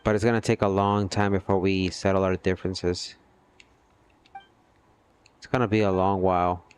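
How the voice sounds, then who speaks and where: A man's voice: low-pitched, flat delivery, moderate pace, clear, resonant tone. A man chatting casually with his livestream viewers, earnest and rambling, often checking in with "you know what I mean". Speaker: gaming livestreamer.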